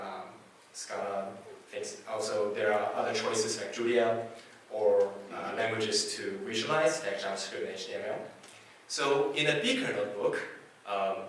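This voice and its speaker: A man with a fairly low voice and Chinese as his first language presents a technical talk, pausing often and restarting his phrases. He is heard from a distance in a very echoey room. A faint hiss sits under the recording. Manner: halting, many fillers and restarts. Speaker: conference speaker.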